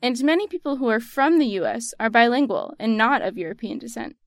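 Young adult woman, General American accent, earnest personal-essay read, slightly high voice. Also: animated, fairly quick, dry recording, studio quality. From United States. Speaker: student essayist.

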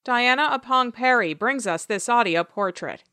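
A woman announcer reading a scripted, measured introduction to a radio feature. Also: on the high side, animated, pushed and bright, clean tone, no room sound, clean studio sound.

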